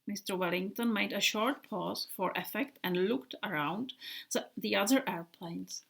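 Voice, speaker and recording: Slow-reading woman. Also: on the high side. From Czech Republic. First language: Czech. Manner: slow, soft storybook reading with quiet whispered touches. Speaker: author reading her book. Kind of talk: reading a children's book aloud.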